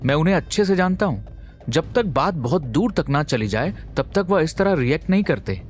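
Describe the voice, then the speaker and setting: An actor as a protective young husband in an audio drama, outraged and confrontational. His voice is raised in pitch and swings expressively, with music underneath. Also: animated, normal speed, natural voice. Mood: demanding, upset. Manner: heated, confrontational. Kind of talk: Hindi audio drama acting.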